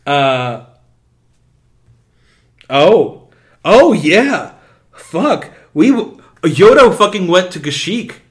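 Sweary, excitable male podcast co-host. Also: high-pitched, drawn-out words, long pauses. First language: not English. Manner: swears constantly, rambling and excitable. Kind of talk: casual chat.

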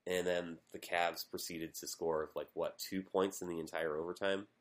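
A man with a low voice co-hosting a podcast in casual conversation. He rambles through long stretches of commentary, with fillers and a self-deprecating tone. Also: fairly even intonation, normal speed. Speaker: podcast co-host. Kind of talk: casual chat.

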